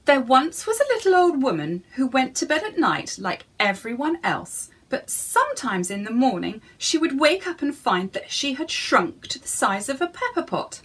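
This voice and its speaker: Woman, children's storyteller, highish voice, very animated, slightly husky, theatrical storybook reading. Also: normal speed, few pauses. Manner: theatrical, addresses the listeners directly.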